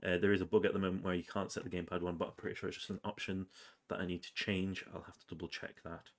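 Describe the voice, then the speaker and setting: A man's voice: sits low, rapid-fire. A man with a cold, casually narrating his game's progress over gameplay with plenty of ums and uhs. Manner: relaxed, rambling with many ums and uhs. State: has a cold, sniffly. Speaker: game developer.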